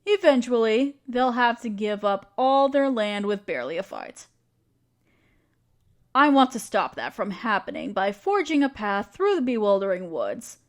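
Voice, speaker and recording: A woman's voice: mid-range voice, sing-song, unhurried. A woman playing an earnest, caring necromancer in a scripted audio roleplay, speaking directly to the listener in a conversational, reassuring way. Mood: earnest, eager. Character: earnest, caring female necromancer with a grand plan.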